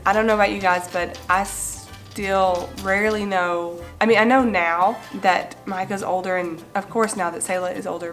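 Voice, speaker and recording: Woman, 20s, home vlogger with a cold; nasal and slightly raspy, casual and chatty, faint music bed. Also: mid-range voice. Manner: chatty, gestures with hands while explaining. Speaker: mom vlogger. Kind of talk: casual parenting vlog to camera. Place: bright living room indoors.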